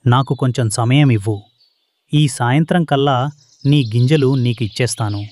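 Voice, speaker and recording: A man's voice: mid-range voice, moderate pace, darkish tone, natural voice. A man playing a poor, trusting father pigeon, earnest and anxious, in a children's cartoon. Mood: upset. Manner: earnest, innocent, pleading.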